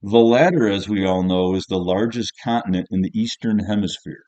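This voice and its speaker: A man voicing a sarcastic old male history teacher in an audiobook, scolding and insistent, with slow, drawn-out emphasis. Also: fairly low pitch, dark tone, highs cut off, lightly acted. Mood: sarcastic, mocking. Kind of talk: audiobook character voice.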